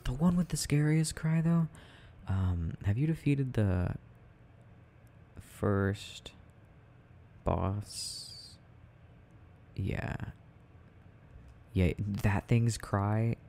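A young adult man rambling softly and casually in a quiet, sleepy murmur as he plays a game, in an ASMR style. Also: medium pitch, creaky. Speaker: audio roleplay creator. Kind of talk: soft casual rambling while gaming, ASMR sleep aid. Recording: faint background noise.

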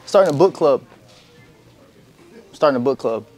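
A young man, chatting casually to viewers in a slangy, relaxed way as he walks through a store and a parking lot. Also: mid-range voice, moderate pace, soft background music. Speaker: vlogger. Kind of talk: casual vlog chat. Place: outdoors in parking lots and inside a big store.

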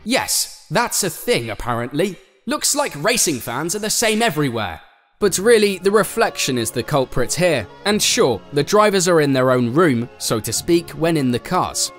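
A man's voice: fairly high pitch, very animated, normal speed, fairly bright tone. A man narrating a scripted motorsport explainer as a voice-over, with playful, sarcastic asides. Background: faint background music.

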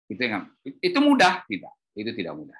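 A middle-aged man giving an informal, animated explanatory talk on a video call, telling stories and acting out dialogue. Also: fairly low pitch, a little fast, breathy edge. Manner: animated storytelling, acts out dialogue. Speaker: speaker at an export training webinar. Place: indoor room on a group video call.

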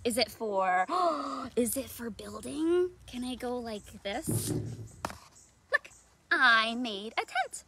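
A woman's voice: fairly high pitch, expressive, slow, noisy background. A woman in her thirties hosting a children's read-aloud outdoors, theatrical and playful, full of questions. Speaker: children's librarian, storytime host. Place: outdoors in a leafy backyard.

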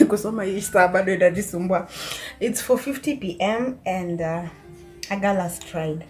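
A woman's voice: mid-range voice, moderate pace, soft background music. A woman in her twenties with a Kenyan accent, chatting casually and playfully to a handheld camera in her small apartment. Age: 20s. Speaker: lifestyle vlogger. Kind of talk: casual vlog chat, mixing English with Swahili. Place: small tiled studio apartment. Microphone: phone or camera at arm's length.

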